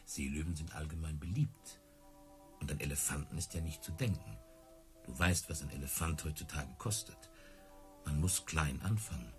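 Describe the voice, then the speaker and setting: Radio play circus tamer: extremely deep voice, touchy pride, earnest hopes. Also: male voice, normal speed, faint music behind. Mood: earnest, persuading. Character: proud, easily hurt circus tamer, hopeful suitor.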